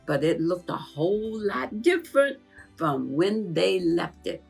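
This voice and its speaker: A woman's voice: lowish voice, lively intonation, measured pace, gravelly, a little mellow, breathy edge, faint music behind. A woman narrating a children's storybook in a conversational storytelling manner.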